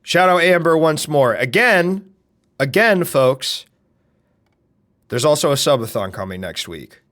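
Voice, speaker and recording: Loud, brash man with a deep voice. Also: sing-song, measured pace. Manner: loud and brash, swearing, swinging from shouts to mutters. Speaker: streamer. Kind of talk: casual live-stream chat.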